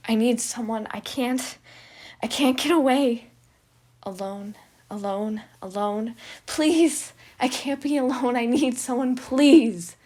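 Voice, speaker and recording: A woman's voice: mid-range voice, level tone, slow, creaky, a little mellow. Woman voicing a panicked tearful young man. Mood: desperate pleading. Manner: pleading, near tears. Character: panicked young man, fearful and self-loathing.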